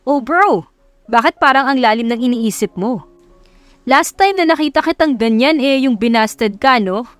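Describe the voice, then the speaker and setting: A woman's voice: sits high, animated, moderate pace, a bit bright, dry recording, pitched above the performer's own voice. A woman with a Filipino accent playing a joking, slangy young male drinking buddy in an audiobook story. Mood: teasing. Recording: background music under voice.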